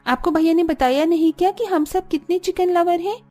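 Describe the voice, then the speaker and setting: A woman's voice: very high voice, normal speed, mellow, pitched above the performer's own voice. A woman playing a pert, complaining young sister-in-law in a cartoon.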